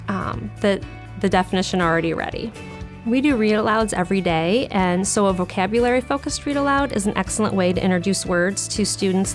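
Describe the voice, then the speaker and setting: A woman who teaches elementary school, explaining words and lessons in simple, child-friendly terms. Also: medium pitch. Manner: clear, child-friendly explanations with questions to pupils. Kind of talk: reading aloud and teaching young children, explaining her method.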